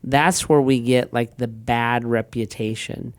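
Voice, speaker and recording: A middle-aged pastor talks in an easygoing, rambling way, often restarting sentences and acting out little exchanges. His voice is smooth and resonant, recorded close and dry. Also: mid-range voice, a little slow, no echo. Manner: relaxed storyteller, frequent restarts and quoted asides. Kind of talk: casual conversational talk.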